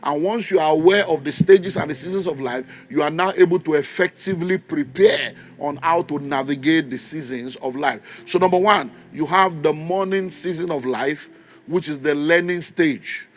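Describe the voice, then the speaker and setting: A man's voice: on the high side, moderate pace. A man in his fifties with a Nigerian accent, preaching a sermon in a church, stressing and repeating key phrases and leading the congregation in call and response. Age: 50s.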